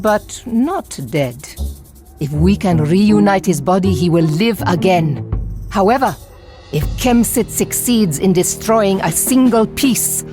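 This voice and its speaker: Resolute female warrior leader, deep for a woman, solemn, commanding, game acting, faint music. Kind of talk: video game cutscene acting.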